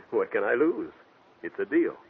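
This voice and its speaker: An actor playing a puzzled, halting small-time agent, a man eager to please, in a 1940s radio drama. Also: on the low side, big pitch swings, loud music behind the voice. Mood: hesitant, puzzled. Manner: halting, unsure. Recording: tinny vintage 1940s radio recording.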